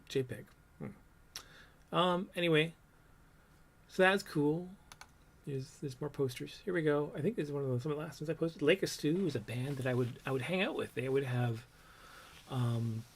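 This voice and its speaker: A man in his fifties with a low, soft voice, reminiscing casually on a livestream about his old art, with many ums and trailing thoughts. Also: slight background noise. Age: 50s. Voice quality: low, soft voice that doesn't project. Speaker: comics artist showing his old work.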